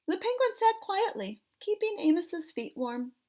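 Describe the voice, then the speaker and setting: A woman reading a picture book aloud for children in an expressive, measured storytelling style. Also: very high voice.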